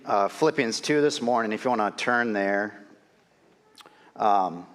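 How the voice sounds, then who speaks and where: A middle-aged male pastor with a cold and a nasal voice, preaching a sermon in a casual, storytelling way in a church. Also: sits low. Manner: conversational, storytelling, self-deprecating. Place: church sanctuary. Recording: long reverb of a church hall.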